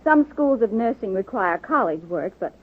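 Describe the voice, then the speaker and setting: A dignified, exacting but kindly head nurse speaks in formal, measured phrases. Her voice is slightly husky, heard through a narrow, phone-like old radio recording. The delivery is classic 1940s radio drama. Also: mid-range voice, lively intonation. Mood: politely appraising.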